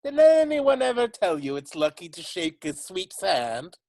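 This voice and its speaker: A kindly chimney sweep, played by a man from a stage musical, very unhurried and folksy. He is cheerful and reassuring, recorded close and dry. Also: high voice, sing-song, a little mellow, dry recording, heavily acted. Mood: cheerful, friendly. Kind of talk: amateur musical read-through.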